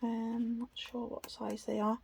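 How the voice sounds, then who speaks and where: A woman's voice: fairly high pitch, flat delivery, unhurried, creaky, a little mellow, a little hiss or hum. A British woman giving a casual, unscripted craft tutorial in a chatty, self-deprecating way. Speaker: craft video host.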